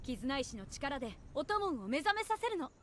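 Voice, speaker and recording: A woman's voice: high-pitched, big pitch swings, fast talker, a bit bright, a little hiss or hum. A woman playing a cheerful, encouraging young village woman in a Japanese video game, guiding kindly.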